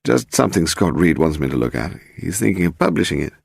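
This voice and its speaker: A male reader plays a scholarly gentleman of sixty-odd in an audiobook story. His delivery swings widely in pitch, with a creaky edge and donnish, exclamatory phrasing. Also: sing-song, fast talker, no echo, studio quality, heavily acted. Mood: mild, dry. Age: 60s. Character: distinguished male historian of sixty-three, donnish, easily delighted.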